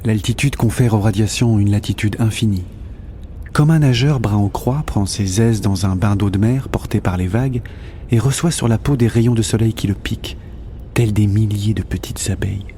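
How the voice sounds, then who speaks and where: A man acting as the grave narrator of an audio drama, reading literary prose in a measured way. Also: lowish voice, dark tone, a little hiss or hum, natural voice. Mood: ominous, detached. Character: grave omniscient male narrator. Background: music.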